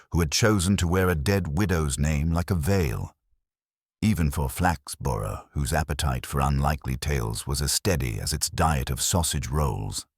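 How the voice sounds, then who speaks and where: A man narrating a scripted radio-style mystery in measured, literary storytelling. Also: very deep voice, no echo, studio quality.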